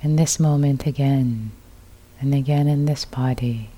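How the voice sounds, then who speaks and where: A woman meditation teacher with a soft, husky, breathy voice, guiding a meditation in sparse, unhurried, soothing phrases. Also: a bass voice, steady tone. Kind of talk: guided meditation.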